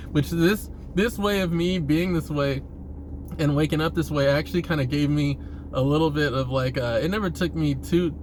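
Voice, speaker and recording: A man giving a casual, rambling personal monologue in an informal, profane way, chuckling now and then. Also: on the high side. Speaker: vlogger talking about himself. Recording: clear vehicle-like ambient noise.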